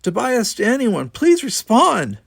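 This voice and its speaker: A man's voice: high voice, animated, normal speed, gravelly, a bit bright, no echo, clean studio sound, pitched above the performer's own voice, exaggerated voice. A man voicing a young female cadet giving tense, clipped alarm reports in an audiobook. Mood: pleading. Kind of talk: audiobook reading.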